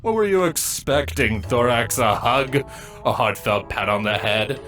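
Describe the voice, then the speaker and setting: A man's voice: medium pitch, big pitch swings, measured pace, a bit bright, soft background music. Man voicing a smug, sardonic older-brother insect creature. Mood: smug, taunting. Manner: sardonic, dry, taunting. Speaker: first-person narrator and character. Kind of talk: dramatic first-person story narration.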